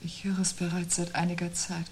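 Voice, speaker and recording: An actress playing a sober, quietly fearful wife in a 1970s German radio drama, speaking in a measured, restrained way. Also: female voice, lowish voice, completely flat delivery, husky.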